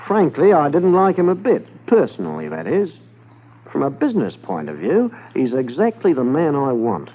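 Shrewd gold smuggler, 1950s radio crime serial. Guarded, deliberate, widely varied intonation, thin vintage broadcast sound. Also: male voice, on the high side, wildly swooping pitch, slightly rough, heavily acted. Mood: confident, scheming. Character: shrewd, smooth-talking male gold smuggler. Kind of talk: 1950s radio drama acting. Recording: narrow-band vintage 1950s radio recording.

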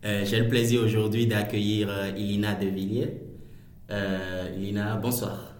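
Host voice: man with a lowish voice, relaxed, many fillers, recorded in an echoey room. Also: normal speed, a little hiss or hum. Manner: relaxed, hesitant with frequent fillers. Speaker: podcast host. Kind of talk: casual interview hosting.

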